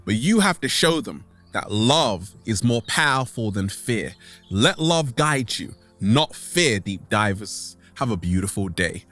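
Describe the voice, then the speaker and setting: A man's voice: on the low side, big pitch swings, a bit bright. A man giving a motivational monologue as an online host, theatrical and exclamatory, drawing out his words. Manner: theatrical, exclamatory, with drawn-out words and sudden swoops. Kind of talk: motivational monologue to camera, catchphrase-heavy. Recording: background music under the voice.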